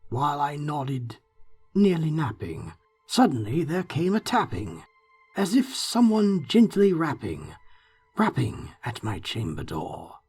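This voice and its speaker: Slow, dark, theatrical gothic narrator. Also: medium pitch, very animated, breathy edge. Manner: theatrical, brooding, swinging from hushed to forceful. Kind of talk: dramatic gothic poetry narration. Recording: faint music behind. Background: faint music.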